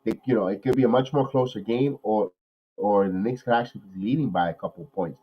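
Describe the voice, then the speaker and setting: A man hosting a live watch-along podcast, nasal from a cold, calling plays excitedly and then talking analysis with many repeats. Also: fairly low pitch, fast, slightly rough, dull audio. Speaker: podcast host.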